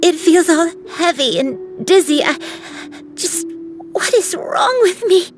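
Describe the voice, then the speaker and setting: An actress playing a troubled, fragile woman who has lost her memory in a video game, speaking haltingly. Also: very high-pitched, very animated, exaggerated voice. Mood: distressed. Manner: halting, breaking off mid-thought. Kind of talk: video game character acting. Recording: music playing behind the voice.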